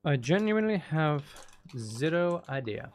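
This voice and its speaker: A man's voice: a little high, very animated, measured pace, a little hiss or hum. A man thinking aloud as he plays a building game, chatting casually with musing, playful asides. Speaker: streamer playing a building game.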